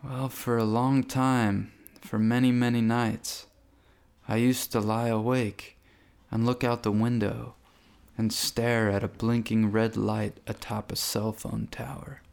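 A man's voice: mid-range voice, level tone, takes it slowly, creaky, a little mellow. A man playing a brooding, hypnotized man in a radio drama, delivering reflective monologues in an earnest, subdued way. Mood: pensive, subdued.

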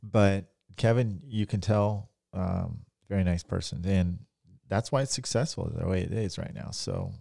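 A man chats easily as a guest on his wife's podcast, teasing her now and then. His voice is low and gravelly. He speaks into a studio microphone with a close, dry sound. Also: big pitch swings, a little slow, dark tone, no echo. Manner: easygoing, teasing. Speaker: podcast guest, host's husband. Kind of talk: casual podcast chat.

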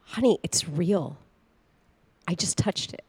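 A woman's voice: fairly low pitch, animated, slow, darkish tone, slight background noise. A woman playing a credulous, blunt, slightly dim wife in an improvised comedy radio play, earnest and plain-spoken. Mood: insistent.

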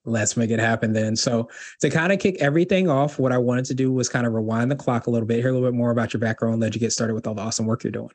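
A man's voice: mid-range voice, steady tone, very fast, hardly any pauses, darkish tone. A man hosting an interview podcast, speaking in an upbeat, eager manner. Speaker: podcast host.

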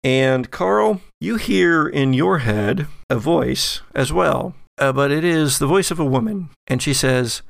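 A man working as game master, narrating a tabletop horror game in a descriptive, halting way. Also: fairly high pitch, lively intonation, vocal fry, no echo, clean studio sound.

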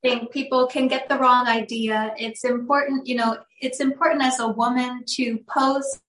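A woman's voice: high-pitched, fairly even intonation, moderate pace, fairly bright tone. A young woman, a guest on an interview show, chatting casually in an upbeat way and telling stories. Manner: upbeat, laughs easily, storytelling. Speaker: guest, actress and model. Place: room with audible airplane noise outside. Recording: echoey room.

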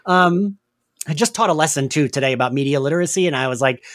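A man's voice: fairly high pitch, quick pace, a bit bright. A man in his forties hosting a casual podcast, chatty and self-deprecating. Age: 40s. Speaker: podcast host.

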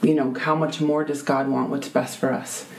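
Deep-voiced woman sharing her testimony. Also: little pitch movement, normal speed, vocal fry, a little hiss or hum. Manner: earnest, many fillers and restarts. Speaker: guest. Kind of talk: personal faith testimony.

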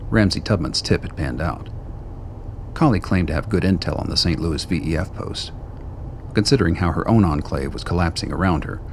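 A man narrating a scripted radio drama in a measured, wry storytelling manner. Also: low-pitched, gravelly, a little hiss or hum.

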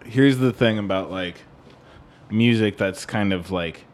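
Rambling man in casual music discussion. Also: on the low side. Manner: rambling, halting, profane, analytical. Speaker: music podcast co-host.

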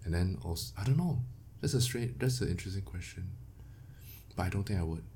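Deep-voiced Singaporean man, casual. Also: lively intonation, a little fast, dark tone, slight background noise. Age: 30s. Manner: colloquial, swears freely. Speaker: podcast guest. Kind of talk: casual chat.

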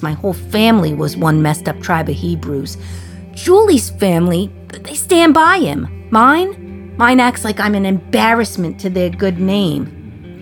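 An actress playing a sardonic, resentful jailed woman in her thirties from 1950s New York, confiding her memories in a radio play monologue. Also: medium pitch. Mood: bitter, wry.

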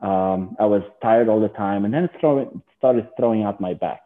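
Man, doctor guest, halting and searching, lowish voice, phone-line sound. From Lebanon. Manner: halting, many fillers and restarts. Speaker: guest physician. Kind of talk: casual interview.

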